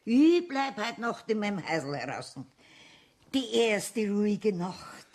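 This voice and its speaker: Older Bavarian country neighbour: husky, medium pitch, plain-spoken, homely, audio drama. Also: female voice, sing-song, slow pace, a little mellow, heavily acted. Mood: insistent. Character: older woman, plain-spoken grateful garden owner. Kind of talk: children's audio play acting.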